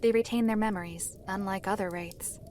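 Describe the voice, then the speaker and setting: A woman playing a composed storyteller of dark lore, measured, formal and authoritative, in an audio drama. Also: medium pitch, steady tone, a little hiss or hum, lightly acted.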